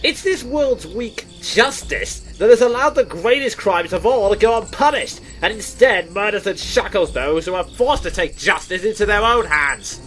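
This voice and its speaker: Guy doing a raving justice zealot, highish male voice, bitter, scornful, melodramatic audio drama, music behind. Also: expressive, normal speed, hardly any pauses, fairly bright tone, rough recording. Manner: grandiose, scornful. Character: bitter fanatical male extremist captive, defiant zealot.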